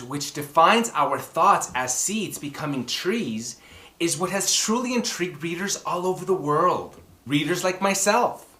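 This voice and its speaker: A man hosts a children's story time in a theatrical, exclamatory way, talking straight to his young audience. His pitch swings widely while he takes his time over the words. The room adds a noticeable echo around his voice. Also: fairly bright tone. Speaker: host of a children's book club and story time. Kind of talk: animated children's book presenting and read-aloud. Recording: roomy echoing room sound.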